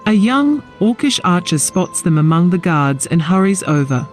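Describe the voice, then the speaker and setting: A male narrator with a rather high voice. He reads scripted fantasy scenes with lively, varied intonation, and soft music plays behind him. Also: normal speed.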